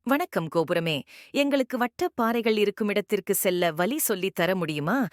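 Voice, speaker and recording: A woman's voice: mid-range voice, animated, moderate pace, soft background music, close to an everyday voice. A woman voicing a polite, eager young girl adventurer in a children's cartoon, addressing viewers as friends.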